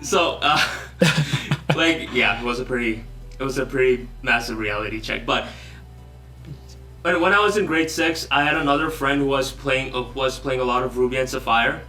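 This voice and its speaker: A man's voice: medium pitch, moderate pace, sharp tone, soft background music. A young man from Bangladesh, a guest in a casual online interview, joking and self-deprecating as he rambles. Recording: roomy echo, distant from mic.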